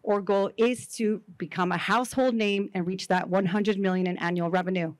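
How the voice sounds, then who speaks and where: A woman from Mexico, a founder giving a rehearsed, confident startup pitch to investors. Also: steady tone, no room sound. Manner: confident, rehearsed, measured delivery.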